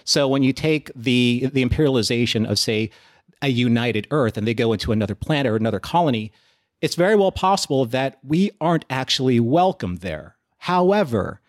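A man on a discussion podcast builds his points slowly and analytically, one clause at a time. His voice sits in a middle pitch, plain and even. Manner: measured, analytical, builds long arguments. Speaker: podcast co-host. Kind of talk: casual discussion.

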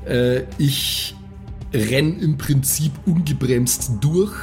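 A man's voice: mid-range voice, slow pace, vocal fry, a little mellow, faint music behind. A man casually improvising his moves as a tabletop player, thinking aloud with some hesitation. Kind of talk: casual improvised game talk.

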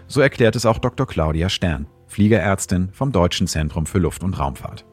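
A man's voice: low-pitched, expressive, moderate pace, a little mellow, breathy edge, soft background music. A man reading scripted documentary narration as a narrator.

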